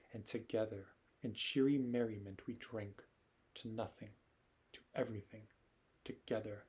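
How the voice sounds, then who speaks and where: Male actor as wandering poet, reflective and yearning, lower register, measured delivery of a lyrical stage monologue. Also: a little mellow, close to an everyday voice. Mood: tender, yearning. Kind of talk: poetic dramatic monologue.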